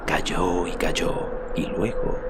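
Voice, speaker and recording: A man's voice: deep voice, little pitch movement, extremely slow, heavy background noise. A man narrating a fantasy audiobook in a dramatic, scripted storytelling style. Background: loud music.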